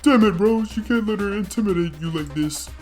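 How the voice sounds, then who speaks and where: A man's voice: high-pitched, fairly quick, darkish tone, faint music behind, voice pushed up, lightly acted. A man voicing blustering but cowardly British chef brothers in a theatrical British accent, reading a story game aloud. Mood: defiant. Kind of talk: character voices while reading a game's story aloud.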